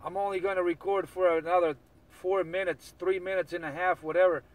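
A man's voice: high-pitched, level tone, fairly quick, rough recording. A man talking casually in CB radio slang, repeating catchphrases as he operates and records. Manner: repetitive, chant-like catchphrases.

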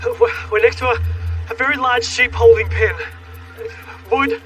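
Brief: a high, bright-voiced crash survivor, strained and urgent, distant mic, music under. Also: male voice, steady tone, normal speed. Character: injured male crash survivor phoning rescuers. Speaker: reenactment actor.

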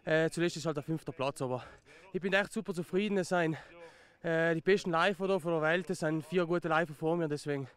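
A young man talks in an upbeat interview at a ski slope, holding steady into a handheld mic. His voice is high and smooth. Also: fast talker. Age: young adult. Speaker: athlete.

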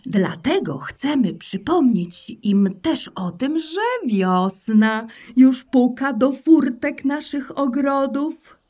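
Woman playing a tender mother hare, very slow, breathy, children's audio drama. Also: high voice, expressive, dark tone, heavily acted. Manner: soothing, tender. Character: gentle mother hare, caring.

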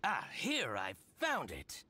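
An actor voicing a sly, spiteful shop owner in a video game, with an exaggerated, oily, gloating delivery. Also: male voice, medium pitch, wildly swooping pitch, takes it slowly, pushed and bright, full character voice. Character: sly, spiteful, theatrical male boutique owner. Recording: music behind the voice.

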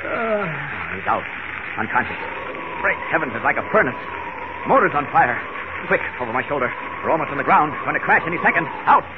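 An actor playing a heroic, urgent flying superhero, declaiming to himself in 1940s radio style. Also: male voice, a little high, sing-song, a little fast, pauses often, heavy background noise. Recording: muffled 1940 radio, loud roaring effects.

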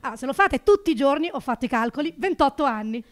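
High-voiced, punchy woman doing stand-up. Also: fast. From Naples. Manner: animated, sarcastic, punchy delivery with big rises for punchlines. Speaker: stand-up comedian. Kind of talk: stand-up comedy routine. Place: small comedy club stage, indoors. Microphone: handheld stage microphone.

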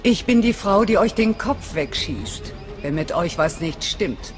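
A woman's voice: on the low side, animated, a little slow. A woman playing a hard, distrustful resistance fighter in a video game, speaking in a blunt, commanding, menacing way. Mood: menacing, suspicious. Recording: long reverb, faint music behind.

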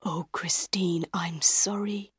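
A woman voicing a weak, dying young man in an audiobook, tender and regretful, in a slow, exhausted, faint whisper. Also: on the low side, fairly even intonation, fairly bright tone, slightly rough, dry recording, studio quality, exaggerated voice.

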